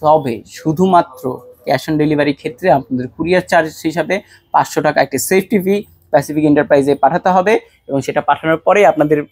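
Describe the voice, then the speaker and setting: A man with a Bangladeshi accent presenting a product pitch, speaking directly to viewers like a shop salesman. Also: brisk, no room sound. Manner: salesman-like, addresses viewers directly with rhetorical questions. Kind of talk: promotional product pitch to camera.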